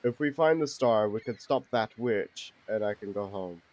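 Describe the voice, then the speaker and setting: An actor playing a big, gruff arctic beast in an audio drama, blunt but good-hearted. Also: male voice, lowish voice, expressive, normal speed, darkish tone, a little hiss or hum, natural voice. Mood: friendly. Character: big gruff thawed arctic beast, friendly once calmed.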